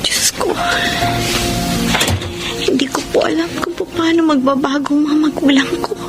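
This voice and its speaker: A woman's voice: high-pitched, fairly even intonation, moderate pace. An actress playing an earnest, dutiful young woman in a Filipino radio drama, with a heartfelt, polite delivery. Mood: tearful pleading. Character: earnest, dutiful, devout young woman burdened by wayward parents. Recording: radio drama with music underneath.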